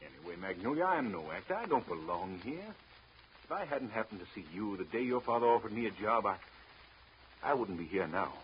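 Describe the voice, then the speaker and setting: An actor playing an earnest, discontented young husband in a 1930s radio drama. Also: male voice, fairly low pitch, very animated, brisk. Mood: fed up, earnest. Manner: earnest, impassioned. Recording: dull, lightly noisy 1930s radio recording.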